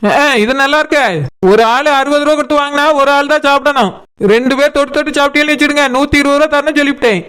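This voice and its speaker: Stubborn cartoon food vendor, Kanyakumari Tamil accent, piercing and bright, emphatic haggling. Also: high voice, steady tone, fast. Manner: hawking, bargaining, emphatic. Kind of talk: comic cartoon dialogue.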